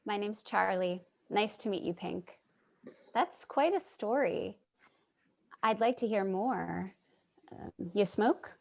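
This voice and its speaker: A woman's voice: medium pitch, expressive, slow, gravelly, pauses often, full character voice. A woman playing Charlie, an earnest, curious listener. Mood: curious, sympathetic. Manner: earnest, reflective. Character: Charlie, sympathetic, curious woman narrating her street encounters. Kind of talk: staged play reading with narration. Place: remote video call reading.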